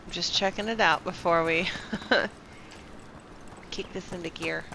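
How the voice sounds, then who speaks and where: A woman's voice: on the low side, fairly even intonation, slow, pushed and bright, poor audio. A woman giving casual live gameplay commentary, murmuring as if talking to herself. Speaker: game streamer. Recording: clear background noise.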